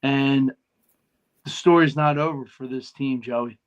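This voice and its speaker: A man, co-hosting a casual sports chat, talking in a heartfelt, soft-spoken way. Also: medium pitch, steady tone, unhurried, dull audio. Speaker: podcast co-host.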